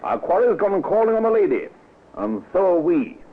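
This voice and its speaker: An actor playing a shrewd, cautious police inspector in an old radio drama, dry and politely probing, on a muffled, tinny recording. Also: male voice, high voice, big pitch swings, normal speed, slight background noise. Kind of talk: old-time radio acting.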